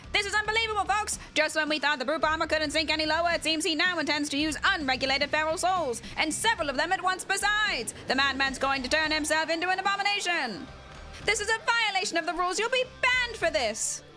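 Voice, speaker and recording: A woman plays an indignant wrestling announcer from a video game. The voice is high and sharp, with dramatic, emphatic delivery and articulate wording, over faint music. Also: fast. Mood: outraged. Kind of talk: amateur voice acting of game dialogue.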